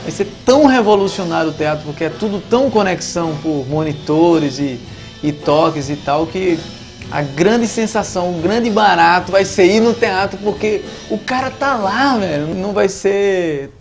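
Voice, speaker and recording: Um homem, artista de teatro, falando de um jeito solto numa entrevista, com pausas e recomeços. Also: male voice, high-pitched, soft background music. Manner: loose, trails off and restarts. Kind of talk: casual interview.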